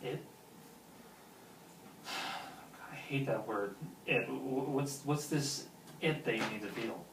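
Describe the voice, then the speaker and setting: A man's voice: medium pitch, takes it slowly, gravelly, long pauses. An actor playing a lovesick, pushy man pleading earnestly with his best friend in naturalistic film dialogue. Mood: wounded sarcasm. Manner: earnest, insistent. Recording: roomy, distant mic with faint noise.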